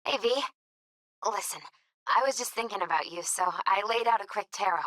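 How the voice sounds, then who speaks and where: A woman playing a gentle, earnest young tarot reader in a video game, with thoughtful, hesitant phrasing. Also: mid-range voice, fairly quick, no room sound, natural voice. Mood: tender. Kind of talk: naturalistic video game dialogue acting.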